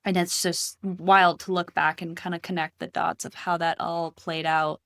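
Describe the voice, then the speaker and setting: A woman in her thirties who grew up in Costa Rica, speaking as a podcast guest. Her voice sits a little low, and she tells her story in a reflective, casual way, recorded close and dry. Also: level tone, normal speed, creaky, fairly bright tone, dry recording. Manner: reflective, storytelling, many fillers. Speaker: podcast guest, healing coach. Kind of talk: casual interview talk.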